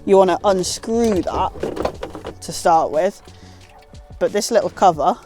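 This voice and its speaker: A woman's voice: lowish voice, slow pace, dark tone, soft background music. A British woman giving a casual, hands-on tutorial in an informal, self-deprecating way. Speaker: presenter of a car installation guide.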